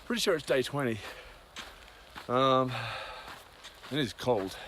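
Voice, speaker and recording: A man's voice: medium pitch, lively intonation, slow pace, noisy background. A man giving a casual, rambling daily update on a hiking trail, with many ums and side tangents. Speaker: long-distance hiker. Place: outdoors on a cold trail.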